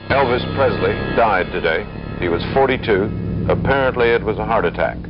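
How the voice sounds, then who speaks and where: Very deep-voiced newsman, dull archive recording. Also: animated, moderate pace. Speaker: news announcer. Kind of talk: news report. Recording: dull archive broadcast, loud music behind.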